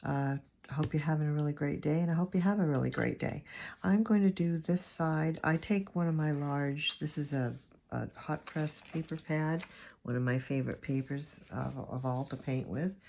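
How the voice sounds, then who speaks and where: A woman with a raspy, allergy-hoarse voice, chatting and rambling as she teaches a watercolor painting lesson, recorded on a phone camera. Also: low-pitched, normal speed, dark tone, slight background noise. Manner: chatty, rambling, thinks aloud while painting. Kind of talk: casual painting tutorial.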